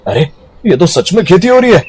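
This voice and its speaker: A man acts a village farmer in a cartoon story. His voice is fairly high for a man, and he declaims bluntly and emphatically in a homely way. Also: very animated, brisk, darkish tone, slightly rough, heavily acted. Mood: amazed. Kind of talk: Hindi moral-story cartoon acting.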